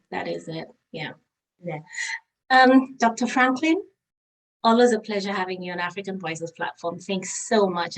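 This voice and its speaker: A woman's voice: fairly low pitch, recorded from a distance, poor audio. A woman hosting a conversational interview in an enthusiastic, affirming way. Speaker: show host.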